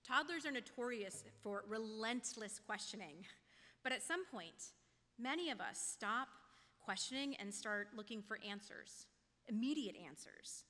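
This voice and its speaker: A woman giving a prepared, reflective sermon as a lay speaker, in a measured, questioning manner. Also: on the high side, animated, sharp tone. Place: church sanctuary. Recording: long church hall reverb.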